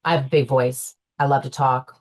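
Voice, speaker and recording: A young woman speaking on a business panel, chatty and relaxed, with frequent fillers and restarts. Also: very deep voice, moderate pace. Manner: chatty, many fillers and restarts, laughs often. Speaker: panelist, owner's daughter in the business. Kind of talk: casual panel discussion.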